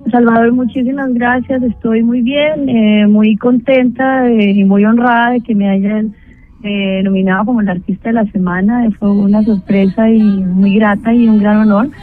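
A woman's voice: on the high side, steady tone, normal speed, soft background music. Colombian woman on a tinny phone line. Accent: Colombian, Bogotá. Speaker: guest singer. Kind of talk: phone interview.

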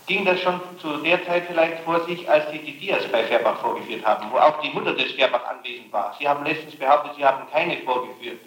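Male interrogator, rapid and pressing, accusatory, reverberant old tape. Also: a little high, fairly bright tone. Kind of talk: police-style questioning. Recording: echoey archival interrogation tape.

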